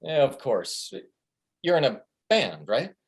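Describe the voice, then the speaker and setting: Goofy bro sidekick, male actor at a table read: dopey, jabbing, animated, unhurried delivery. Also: mid-range voice. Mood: teasing. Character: goofy, excitable dude sidekick named Steve. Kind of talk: comedic script table read.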